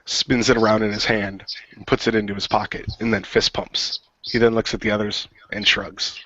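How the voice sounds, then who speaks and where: Low, even-toned man narrating action. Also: moderate pace, no room sound, muffled recording. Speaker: role-play player. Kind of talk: third-person action narration.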